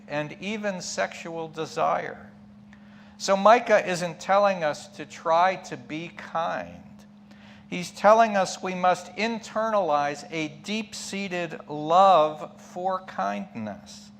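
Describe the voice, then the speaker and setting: Lay preacher, male, slightly high voice, very slow delivery, emphatic and thoughtful; humming, noisy recording. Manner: reflective, emphatic, rhetorical questions and asides. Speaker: lay preacher giving a sermon. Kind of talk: sermon. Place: church pulpit. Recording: clear background hum and noise.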